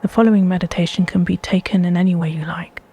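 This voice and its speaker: A woman with a hushed, breathy voice guiding a meditation in measured, deliberate phrases with pauses between them. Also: fairly low pitch, fairly even intonation, a little hiss or hum. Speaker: meditation guide. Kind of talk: slow guided meditation narration.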